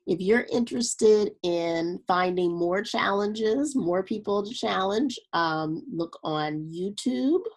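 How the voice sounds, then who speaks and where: A woman reading a children's story aloud in a deliberate, expressive storytelling way. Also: medium pitch. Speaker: storybook reader.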